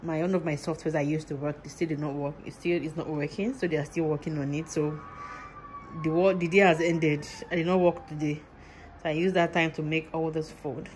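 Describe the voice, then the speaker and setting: A woman's voice: deep voice, steady tone, a little fast, darkish tone, a little hiss or hum, poor audio. A woman from Nigeria chatting casually in a home vlog, talking through her cooking in simple, repetitive phrases and laughing often. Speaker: vlogger and mother. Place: home kitchen.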